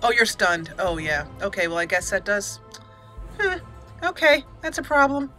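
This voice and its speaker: A woman's voice: mid-range voice, big pitch swings, moderate pace, pushed and bright, soft background music. A woman hosting a gaming channel, giving casual, chatty let's play commentary as she talks aloud to the characters.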